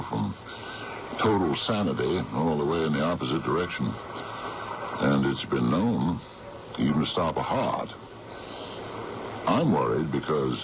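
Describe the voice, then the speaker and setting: An actor playing an older, folksy uncle in a radio drama, with a gravelly voice and a halting, reflective manner. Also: male voice, very deep voice, exaggerated voice. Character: calm, folksy older uncle who sails. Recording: dull, noisy vintage radio recording.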